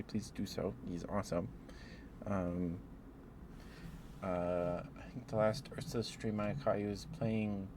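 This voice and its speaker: A man's voice: on the low side, noisy background. A man streaming ASMR, chatting casually and playfully to his live viewers in a rambling way.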